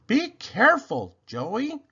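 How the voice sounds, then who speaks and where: A man's voice: very high-pitched, wildly swooping pitch, slow pace, pushed and bright, slightly rough, highs cut off, pitched above the performer's own voice, heavily acted. A man voicing a protective storybook mother who speaks in a cautioning, concerned manner. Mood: warning, concerned. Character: cautious protective mother, warning her young son.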